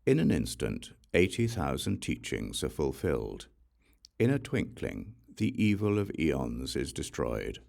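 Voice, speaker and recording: A man with a very deep voice and a gravelly edge reads sacred verse as an audiobook. His phrasing is deliberate and measured, with pauses between phrases, and his pitch rises and falls expressively. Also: huge pitch swings, a little mellow. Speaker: audiobook narrator.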